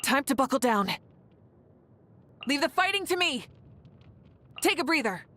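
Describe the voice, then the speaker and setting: A young woman voicing a confident, warm warrior leader of fire in a video game, speaking in a poised, earnest, assured way, with music behind her. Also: sits high, big pitch swings, a little slow, heavily acted. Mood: brisk, determined. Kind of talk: video game character voice lines.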